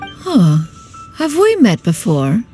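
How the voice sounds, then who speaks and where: Aloof, mellow-voiced dignified sage. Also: female voice, on the high side, wildly swooping pitch, slow, soft background music, full character voice. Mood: curious, reserved. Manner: formal, clipped, detached. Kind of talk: video game voice acting.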